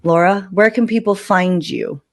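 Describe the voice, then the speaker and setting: A woman's voice: medium pitch, fairly even intonation, unhurried. A woman hosting a podcast in casual, conversational talk, with an informal, emphatic way of speaking that repeats phrases for emphasis. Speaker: podcast host.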